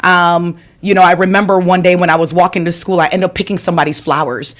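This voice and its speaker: A woman's voice: on the low side, steady tone, fast. A woman from Jamaica introducing herself as a candidate at a neighborhood forum, telling a story with rhetorical asides. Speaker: aldermanic candidate. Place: community media center room.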